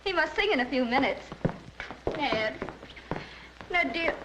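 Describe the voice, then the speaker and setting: An actress playing a tearful, pleading young wife in a 1930s film. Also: female voice, high voice, animated, unhurried, background noise.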